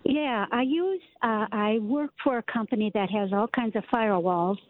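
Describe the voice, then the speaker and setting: A woman's voice: on the high side, normal speed. A woman from Chicago with a Chicago accent, calling in to a radio show, polite and hesitant, with fillers. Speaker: caller. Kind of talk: phone call to a radio show. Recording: tinny dry phone line.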